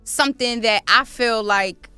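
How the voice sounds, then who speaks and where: Bright, high-pitched woman guest. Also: a little slow. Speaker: radio interview guest, author. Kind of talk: casual interview talk. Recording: dry studio sound, faint music behind.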